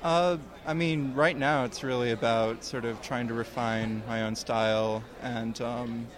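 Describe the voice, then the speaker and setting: Young male guest, mid-pitched voice, halting and unsure answers, outdoor interview. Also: fairly even intonation, crowd noise. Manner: hesitant, halting, many ums. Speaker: guest, comics student. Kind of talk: casual interview.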